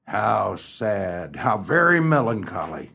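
An actor playing a gloomy, stern old farmer in a comic radio melodrama, husky and gravelly, with theatrical, florid delivery. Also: male voice, lowish voice, big pitch swings, takes it slowly, sharp tone, full character voice. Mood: mournful.

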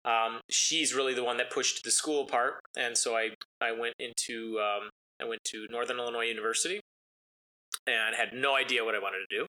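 A man with a very bright, forward voice, talking through his background in an easygoing interview. His first language is Italian. He rambles and fills pauses with ums and uhs. His pitch sits in a middle range for a man. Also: steady tone, rough recording. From United States. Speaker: interview guest, racing driver. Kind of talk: casual storytelling interview.

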